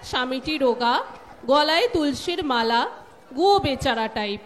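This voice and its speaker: High-pitched woman narrator. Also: expressive, normal speed. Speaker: narrator, schoolteacher. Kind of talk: scripted stage-direction narration. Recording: stage recording with audience noise.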